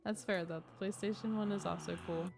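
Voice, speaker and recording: A woman's voice: medium pitch, fairly even intonation, brisk, creaky. A woman game streamer talking casually and informally to her viewers while she plays. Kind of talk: casual livestream chat while gaming. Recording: game music behind the voice. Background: game music.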